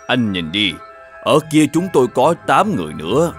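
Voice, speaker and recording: A man voicing a mysterious old Taoist sage in an animated fairy tale, courteous and formal in manner. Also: mid-range voice, moderate pace, lightly acted. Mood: courteous, persuasive. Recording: music behind the voice.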